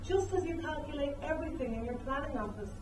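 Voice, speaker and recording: A reflective young bride-to-be, played by a woman, recites poetic memories in a measured way on stage. Her voice sits fairly high and comes from a distance in an echoey space, with music playing strongly. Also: lively intonation, noisy background. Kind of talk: amateur dramatic reading of poetic monologue.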